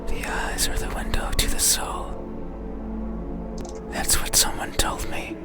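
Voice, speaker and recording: Eerie slow whispering hollow being, acted out. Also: natural voice. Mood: wistful, hollow. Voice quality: breathy unvoiced whisper. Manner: slow, halting, hushed delivery. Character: eerie, hollow, mysterious being, wistful and menacing. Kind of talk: horror visual novel voice acting. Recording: whisper under loud music. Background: loud music.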